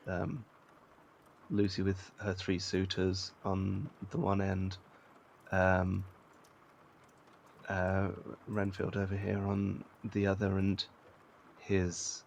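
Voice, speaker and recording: Male discussion guest, unhurried and creaky, low register, deliberate with emphatic phrasing. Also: slight background noise. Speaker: interview guest, actor. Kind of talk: conversational, thoughtful panel discussion.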